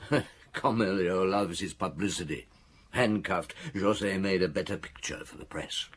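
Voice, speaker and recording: An actor playing a seasoned, middle-aged chief inspector, wry and measured, recounting a case in a radio drama. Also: male voice, low voice, husky. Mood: reflective. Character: seasoned male police chief inspector, reflective, wry storyteller.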